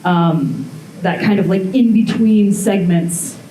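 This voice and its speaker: A woman's voice: medium pitch, slow, a little hiss or hum. A young woman blacksmith talking casually through a live demonstration, thinking aloud as she works. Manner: relaxed, thinking aloud while working. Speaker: blacksmith giving a demo. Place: forge workshop at a hammer-in event. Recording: echoey workshop with faint ambience.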